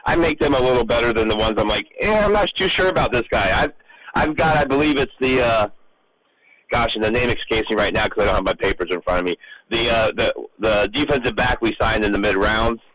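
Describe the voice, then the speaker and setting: A man's voice: mid-range voice, expressive, low-quality recording. Man talking football over background chatter. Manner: halting, repeats words as he goes. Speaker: sports radio show panelist. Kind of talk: casual football discussion.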